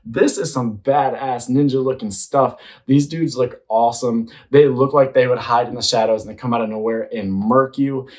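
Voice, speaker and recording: A man's voice: medium pitch, steady tone, normal speed, few pauses, darkish tone. A man giving casual, slangy commentary as an enthusiastic host reacting to a movie trailer. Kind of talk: excited casual commentary to viewers.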